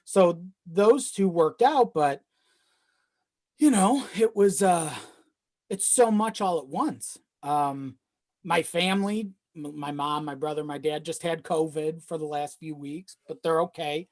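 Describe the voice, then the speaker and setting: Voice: medium pitch, slow pace, a little mellow, dry recording, studio quality. A podcast guest chatting casually and telling personal stories in a rambling way, swearing freely and often chuckling mid-sentence. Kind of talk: casual chat, personal storytelling.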